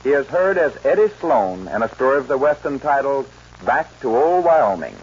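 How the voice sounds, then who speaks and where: A man's voice: mid-range voice, very animated, normal speed, slightly rough. Male announcer on dull old-time radio. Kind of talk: 1940s scripted radio narration. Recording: dull old radio recording, music behind.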